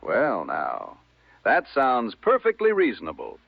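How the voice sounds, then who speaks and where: An actor playing a courteous, genial 1960s evening radio host, with a smooth announcer delivery and dry understatement. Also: male voice, mid-range voice, wildly swooping pitch, measured pace, dry recording, muffled recording, exaggerated voice. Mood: wryly amused. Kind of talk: 1960s radio broadcast acting.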